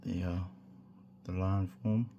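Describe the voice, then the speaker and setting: Man, very low voice, croaky sore throat, rambling tutorial talk, faint hiss. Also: slow, muffled recording. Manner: relaxed, rambling, many fillers and restarts. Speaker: hobby tutorial host. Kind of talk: casual hands-on tutorial.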